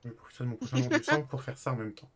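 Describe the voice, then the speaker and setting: A man's voice: medium pitch, wildly swooping pitch, very fast, fairly bright tone. A man chatting casually in an online roleplay as a new employee, polite, agreeable and a little hesitant. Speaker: streamer playing a new garage employee. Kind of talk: casual in-character roleplay chat.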